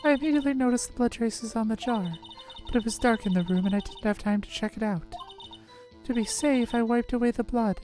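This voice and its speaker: A woman's voice: fairly high pitch, a little fast, mellow, faint music behind, natural voice. A woman voicing an earnest female detective giving testimony, reading video game dialogue.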